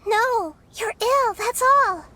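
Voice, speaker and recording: A woman playing a small, wise child-like goddess in a fantasy game, gentle, earnest and composed. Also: very high-pitched, slow, loud music behind the voice. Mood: earnest, pleading. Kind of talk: scripted fantasy game acting.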